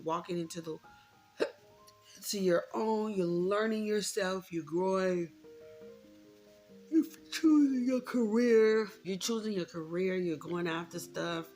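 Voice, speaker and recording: An American woman in her thirties speaks candidly to her viewers in a slightly low voice with plenty of vocal fry. She is reflective and informal, repeating phrases to drive points home. Soft guitar music plays faintly behind her. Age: 30s. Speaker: vlogger. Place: indoors, room with a closet.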